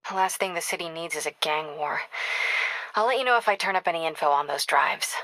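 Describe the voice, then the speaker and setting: An actress playing a sharp, determined young American investigative reporter in a video game, speaking in a wry, brisk, conversational way as if on the phone. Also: female voice, sits low, expressive, no echo, poor audio. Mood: focused. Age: young adult.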